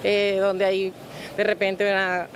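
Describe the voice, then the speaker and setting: Woman, street news interviewee, explains clearly, light traffic noise. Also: medium pitch, monotone, slow pace, rough recording. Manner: informed, explanatory.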